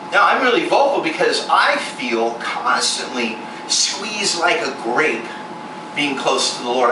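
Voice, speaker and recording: A man in his forties giving a candid, self-mocking personal testimony sermon, with sudden jumps in pitch for emphasis. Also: distant mic. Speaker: ministry performer speaking to a congregation. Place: large echoey venue room with air conditioning hum. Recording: echoey room recorded from afar with loud air-conditioner hiss.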